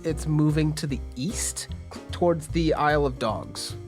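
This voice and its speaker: Man, game master, fairly high pitch, casual scene narration, faint music behind. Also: unhurried, darkish tone. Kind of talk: casual tabletop game narration and role-play.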